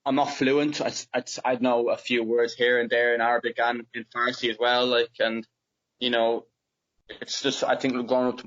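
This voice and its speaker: A man's voice: medium pitch, little pitch movement, normal speed, a bit bright, muffled recording. A young man with a Dublin Irish accent, answering interview questions casually and hesitantly, with many fillers and restarts. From Ireland. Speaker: footballer guest.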